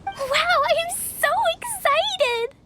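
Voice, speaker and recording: Very high-pitched, eager little girl. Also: expressive, heavy background noise, heavily acted. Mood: excited, sweet. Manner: childlike, earnest, drawn-out speech. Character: eager little girl, innocent, attached to her toy. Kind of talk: anime game voice acting. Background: music.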